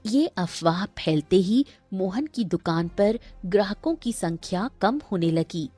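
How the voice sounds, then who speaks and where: A woman's voice: medium pitch, lively intonation, measured pace, mellow, faint music behind. A woman narrating a scripted cartoon story.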